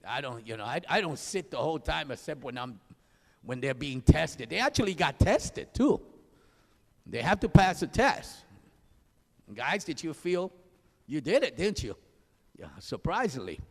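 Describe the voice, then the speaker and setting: A man with a Spanish accent, an auctioneering instructor giving a lively, joking graduation speech and calling out names. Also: a little high, a little slow, vocal fry, long pauses. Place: large hall. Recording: live hall, long reverb.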